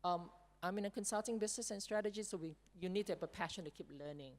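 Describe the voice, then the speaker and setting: A woman's voice: medium pitch, fast talker, darkish tone. A woman in her forties from Singapore with a Singaporean English accent, speaking on a conference panel with a handheld mic and tagging "right?" onto her points. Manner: opinionated, frequent "right?" tags. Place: large conference stage. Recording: long hall reverb.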